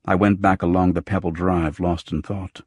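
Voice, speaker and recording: A man narrates a first-person noir audiobook. His voice is low and husky, and the recording is close and very dry. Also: flat delivery, moderate pace, a little mellow, no echo.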